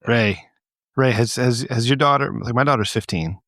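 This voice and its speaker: A man's voice: mid-range voice, moderate pace, a bit bright, no echo, studio quality. A middle-aged man co-hosting a podcast in casual, crude, profanity-laced comedic banter. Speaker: podcast co-host.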